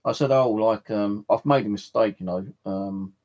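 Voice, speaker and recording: Gravelly British man chatting informally. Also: fairly low pitch, normal speed, a little mellow, muffled recording. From United Kingdom. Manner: rambling, informal, sweary. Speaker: livestream host of a ghost box session. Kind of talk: casual chat and storytelling. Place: at home in a flat.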